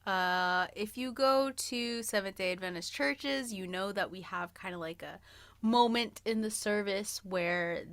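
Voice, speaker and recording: A woman's voice: mid-range voice, unhurried, fairly bright tone, no room sound. A woman in her early thirties co-hosting a podcast, telling a personal story in a chatty, playful, self-deprecating way. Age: early 30s. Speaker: podcast co-host.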